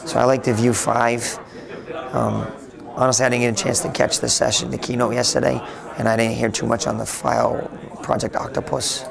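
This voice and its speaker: A man being interviewed casually at a convention, talking with a raspy, slightly hoarse voice and rambling with lots of restarts. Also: medium pitch, flat delivery, moderate pace. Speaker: interviewee, tech blogger. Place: busy convention floor. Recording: noisy crowded convention hall. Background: crowd chatter.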